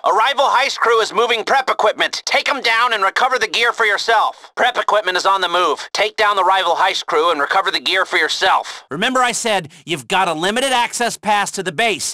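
An actor playing a jittery, sardonic criminal heist planner in a video game, talking casually with nervous, rambling asides. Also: male voice, sits high, bright, forceful tone, no echo, studio quality. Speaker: heist coordinator giving mission briefings.

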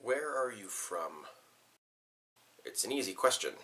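A man's voice: lowish voice, big pitch swings, unhurried, sharp tone. A young man reflecting thoughtfully on where he is from, hesitating and repeating words as he thinks, in a small room. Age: young adult. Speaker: vlogger. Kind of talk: reflective personal documentary talk. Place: small bedroom indoors.

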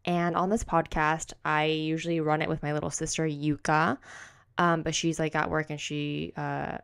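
Chatty young woman, gravelly low voice. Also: level tone, normal speed. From raised in the United States. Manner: chatty, rambling, heavy use of "like", self-correcting. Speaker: podcast host. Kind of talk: casual solo podcast chat. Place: home room with kitchen behind, indoors. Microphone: silver desk condenser mic, close.

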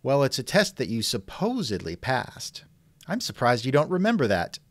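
A man's voice: mid-range voice, wildly swooping pitch, moderate pace, dry recording. A man hosting a conversational interview, asking questions in a wry, skeptical manner. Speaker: interviewer, host. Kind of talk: reenacted text-chat interview, conversational.

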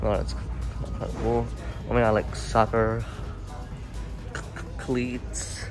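A man's voice: medium pitch, slow pace, music in the background. Stammering young guy vlogging at the mall. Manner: stammering, repeats words, excited. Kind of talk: casual vlog narration. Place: shopping mall and sporting goods store, and a car. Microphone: handheld camera.

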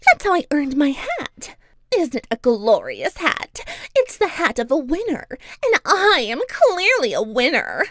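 A boastful little pony magician from a cartoon. She sounds high, childish and self-important, with hugely swooping pitch in a dry, close recording. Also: huge pitch swings, unhurried, a bit bright, no echo, exaggerated voice. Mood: smug, boastful. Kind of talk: fan cartoon comic dub.

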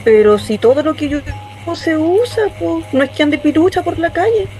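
High-pitched young Chilean neighbor. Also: female voice, sing-song, moderate pace, mellow, soft background music. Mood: defensive. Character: polite, defensive young neighborhood woman. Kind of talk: radio drama acting.

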